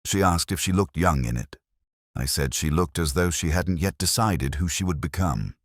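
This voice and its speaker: A man playing a reflective, sceptical English detective, narrating a mystery story in a measured, literary, brooding manner. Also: low-pitched, no echo, clean studio sound. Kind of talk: scripted first-person mystery narration.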